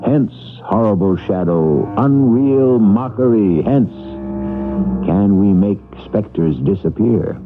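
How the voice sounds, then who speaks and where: A man's voice: fairly low pitch, animated, slow pace. A man hosting a 1970s radio mystery show, with ominous, theatrical scripted narration. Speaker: radio drama host. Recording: tinny 1970s radio broadcast with music.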